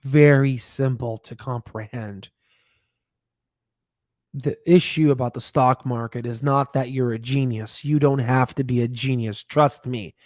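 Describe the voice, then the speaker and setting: A man in his thirties hosting a livestream on stock analysis, slightly hoarse, animated and emphatic, repeating points, speaking close into a boom mic in a home studio. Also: unhurried, no echo. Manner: animated, emphatic, repeats phrases, drops to whispers. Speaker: stock channel host. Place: home studio room, indoors. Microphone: podcast mic on boom, close.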